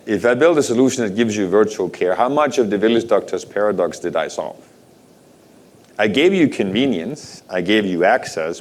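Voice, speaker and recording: Danish-born man giving a keynote talk. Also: fairly low pitch. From Denmark. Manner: rhetorical questions to the audience, conversational. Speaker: conference speaker.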